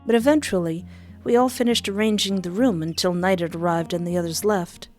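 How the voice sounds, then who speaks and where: Soft, low-voiced woman narrating a game. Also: a little fast, soft background music. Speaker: narrator and protagonist of a visual novel. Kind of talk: reading a game's story aloud.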